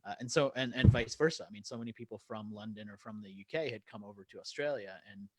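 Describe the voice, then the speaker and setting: A man's voice: fairly low pitch, fast. A man speaking as an interview guest, telling stories in a casual, conversational way.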